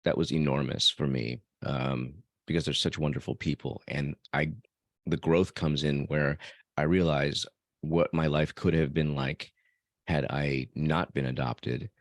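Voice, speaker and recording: A man's voice: very deep voice, steady tone, a little slow, no echo, clean studio sound. A man from El Salvador, a podcast host being interviewed, answering in a reflective way with some fillers. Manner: reflective, thinks aloud with fillers. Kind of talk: casual interview conversation.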